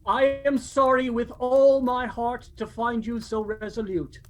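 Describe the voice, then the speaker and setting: An actor playing a cheerful, warm-hearted nephew in a radio play, speaking in an earnest, declamatory way. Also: male voice, extremely high pitch, little pitch movement, unhurried. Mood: pleading, cordial.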